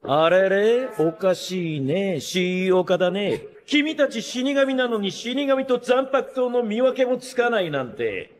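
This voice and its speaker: A man's voice: high-pitched, animated, measured pace, hardly any pauses. A male actor playing a flamboyant, cocky showman swordsmith in an anime, with a theatrical, playful sing-song delivery that can turn cold. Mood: cold, mocking. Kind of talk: Japanese anime voice acting.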